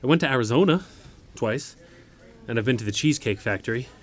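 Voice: medium pitch, lively intonation, quick pace, close to an everyday voice. An actor in an audio comedy-drama playing an earnest, quirky, chatty neighbor who rambles naively and startles easily. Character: earnest, quirky, chatty neighbor accompanying an injured older woman.

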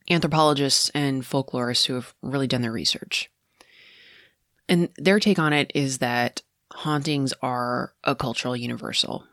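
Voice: medium pitch, fairly bright tone, no echo, studio quality, natural voice. An actor playing a determined amateur ghost investigator in an audio drama, confiding in a conversational, wry, self-interrupting way. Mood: keen, explanatory. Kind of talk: naturalistic audio drama monologue.

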